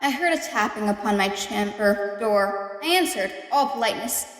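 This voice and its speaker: A woman's voice: mid-range voice, expressive, normal speed, faint music behind, close to an everyday voice. A woman playing an unhinged, guilty murderer who narrates with false politeness and growing paranoia in a horror radio play. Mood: falsely polite, nervous. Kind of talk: dramatic first-person horror monologue in radio play. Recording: echoey room with long reverb.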